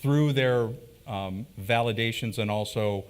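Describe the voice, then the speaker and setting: A man with a fairly low voice co-presenting at a conference. He talks animatedly to the crowd, often restarting and repeating words mid-thought. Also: unhurried, smooth. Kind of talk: conference talk answering audience questions.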